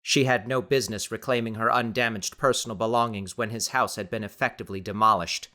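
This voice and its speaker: Bright, forceful male audiobook narrator. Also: fairly low pitch, steady tone, fast. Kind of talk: dramatic scripted narration.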